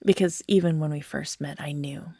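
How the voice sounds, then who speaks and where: A woman playing an obsessive, unhinged yandere who is tender and menacing by turns, speaking in an intimate, halting, breathy ASMR roleplay style that often drops into whispers. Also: low voice, steady tone, dark tone, no echo, clean studio sound. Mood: tender, coaxing. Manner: slow, halting, cooing, broken by giggles and sighs.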